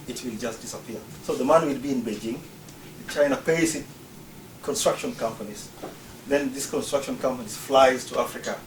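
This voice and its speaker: A man's voice: medium pitch, a little hiss or hum. Ugandan man explaining, roomy and distant. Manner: explanatory, lists points one by one. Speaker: speaker. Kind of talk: prepared talk. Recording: roomy, distant mic, faint ambience.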